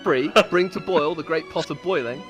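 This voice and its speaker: A woman's voice: deep voice, normal speed, faint music behind. A woman giving a playful, mock-solemn dramatic reading of her own comic stories, like a storyteller. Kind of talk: comedic dramatic reading of scripted tales.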